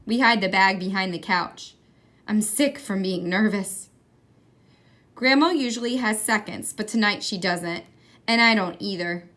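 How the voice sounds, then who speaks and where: Bright-voiced woman reading a children's story. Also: medium pitch, measured pace. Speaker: read-aloud reader. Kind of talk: expressive children's picture book reading.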